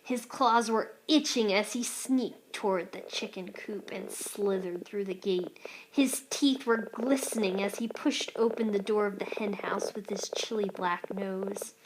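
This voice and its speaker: A woman's voice: mid-range voice, a little slow, background noise. A woman reading a children's storybook aloud expressively, like a teacher.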